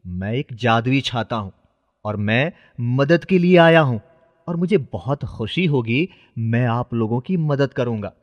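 A man's voice: medium pitch, lively intonation, unhurried, lightly acted. A man voicing a helpful, righteous talking magic umbrella in a children's cartoon, declaiming with self-assurance. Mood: proud, friendly. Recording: muffled, with long reverb.

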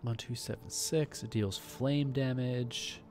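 A man's voice: mid-range voice, steady tone, takes it slowly, darkish tone. A man hosting a casual let's play, thinking aloud in a laid-back way. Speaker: gaming video host. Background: faint game music.

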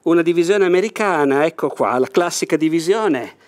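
An older man presenting a board war game in a bright indoor room, talking with enthusiasm, leaning over the table and gesturing as he explains. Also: a little high, expressive, a little fast. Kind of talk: enthusiastic unboxing talk.

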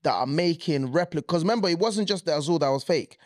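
A young man, co-hosting a podcast in casual chat, animated and gesturing as he talks. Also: fairly high pitch, fast talker, no room sound, clean studio sound. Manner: animated, gestures a lot while talking. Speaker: podcast co-host. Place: small studio set, indoors. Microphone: desk mic on boom arm.

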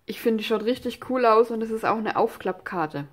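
A woman with a stuffy head cold talks her way through a crafting project at her desk. Her voice sits a little high. She rambles casually, full of fillers and side remarks. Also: lively intonation, fairly quick. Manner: chatty, rambling, many fillers and asides. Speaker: craft channel host. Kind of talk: casual crafting tutorial chat. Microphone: overhead camera filming hands on desk.

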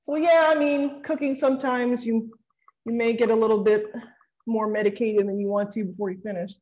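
A woman's voice: fairly high pitch, fairly even intonation, a little fast, darkish tone. A young woman co-hosting a live cooking demonstration in a large kitchen, explaining tips casually with joking asides, picked up by a distant camera. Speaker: cooking co-host. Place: large home-style kitchen, indoors. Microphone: far camera. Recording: dull lo-fi livestream audio.

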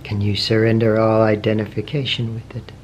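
A man leading a guided meditation, asking slow, gentle questions with long pauses between phrases. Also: lowish voice, monotone, highs cut off. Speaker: meditation teacher. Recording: faint background noise with meditation music.

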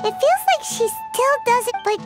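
Squeaky little fairy companion. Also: female voice, expressive, normal speed. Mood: curious. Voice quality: squeaky, childlike. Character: tiny floating fairy companion, childlike, brash, loyal. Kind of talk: video game voice acting. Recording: loud music behind the voice.

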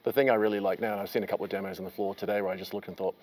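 A chatty man in his forties asks long, winding questions at a quick clip, his voice sitting fairly low. He is recorded on a lapel mic in a conference room, with faint noise underneath. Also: fairly even intonation, darkish tone. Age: 40s. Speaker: interviewer host. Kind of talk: conference interview. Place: conference room with a curtain backdrop.

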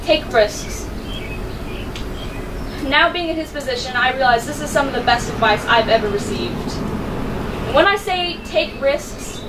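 A teenage girl giving a graduation speech as salutatorian, earnest and measured with a touch of self-mockery, speaking at a podium on an indoor stage. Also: sits high, expressive. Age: teens. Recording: distant mic with clear background noise.

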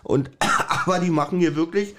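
A man's voice: fairly high pitch, normal speed, fairly bright tone. A man chatting casually as a podcast co-host. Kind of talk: casual chat.

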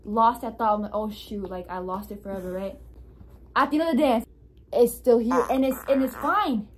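A teenage girl vlogging to her phone camera, excitable and giggly, her voice a little hoarse from losing it. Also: on the high side, normal speed. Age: teens. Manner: excitable, giggly, talks straight to the viewers. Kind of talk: casual vlog chat to a handheld phone camera. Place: outdoors in a city, then at home. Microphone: phone camera held at arm's length. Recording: noisy phone audio with crowd in background.

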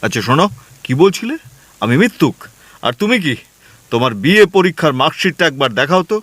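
A man's voice: fairly high pitch, very animated, fairly quick, a bit bright, no echo. A man playing a jealous, sarcastic husband quarrelling with his wife in a radio play. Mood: quarrelsome. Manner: quarrelsome, sarcastic, stammering when agitated. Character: jealous young husband, hot-tempered and sarcastic.

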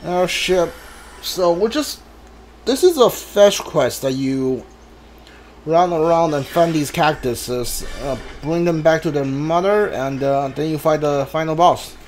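A man giving casual, halting let's play commentary on a video game, musing to himself as he goes. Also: fairly high pitch, darkish tone, slight background noise, low-quality recording. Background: video game music.

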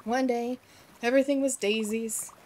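A woman's voice: on the high side, steady tone, normal speed, darkish tone, slight background noise, close to an everyday voice. A woman voicing a nervous young male duck kid who squawks and gets defensive, in a cartoonish game. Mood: sad.

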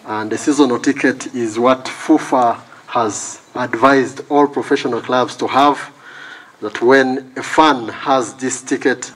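A man's voice: medium pitch, steady tone, unhurried, fairly bright tone, poor audio. A man giving formal thanks at an outdoor club ceremony. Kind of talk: ceremony address. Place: outdoors at a launch display.

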